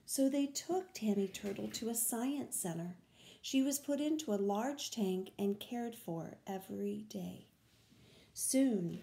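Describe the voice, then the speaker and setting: An older woman reading a children's picture book aloud in a deliberate, expressive way, stressing key words. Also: mid-range voice, echoey room, slight background noise. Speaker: read-aloud storyteller. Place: small room at home, indoors.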